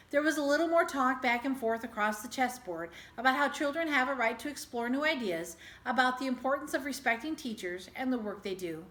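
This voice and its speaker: Woman reading a story, echoey high voice. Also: a bit bright. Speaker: teacher reading a children's book aloud. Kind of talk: expressive storybook narration. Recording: echoey room.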